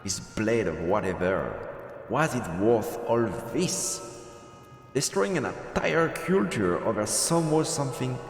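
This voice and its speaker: A man's voice: medium pitch, lively intonation, slow. A man streaming a game, reading a visual novel's story narration aloud. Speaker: let's play streamer. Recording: roomy reverberant sound, music behind.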